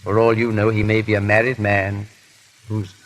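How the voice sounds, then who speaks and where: A man's voice: fairly low pitch, completely flat delivery, moderate pace, close to an everyday voice, rough recording. A man playing a witty, foppish aristocrat in an urbane, ironic 1930s radio drama style. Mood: wry, tender. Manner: urbane, drawling, lightly ironic. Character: foppish, witty English aristocrat husband hiding his feelings. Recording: old 1930s radio recording.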